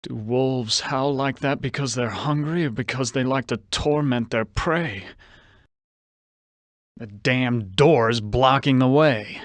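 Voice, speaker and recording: A man's voice: mid-range voice, slow pace, no room sound, studio quality, lightly acted. An actor playing a vain, suave, womanizing con man who muses aloud theatrically and suspiciously in a video game. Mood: dry, musing. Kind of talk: video game character monologue.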